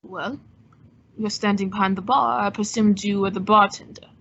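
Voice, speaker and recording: A woman playing a suave, wry gentleman sleuth, polished and teasing, in an old-time radio detective drama. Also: medium pitch, steady tone, normal speed, slightly rough, dull audio. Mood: cool, polite.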